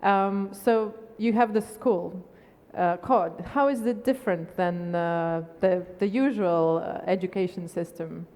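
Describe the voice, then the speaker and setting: A woman speaks in a lower-pitched voice. She moderates conversationally from a conference stage, and the hall adds a long reverb. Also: a little slow, darkish tone.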